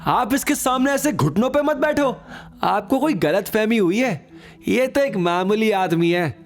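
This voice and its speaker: A man's voice: high voice, animated, measured pace, soft background music, pitched above the performer's own voice. A man playing a scheming, fawning sidekick in an audio drama, whining and ingratiating. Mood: pleading.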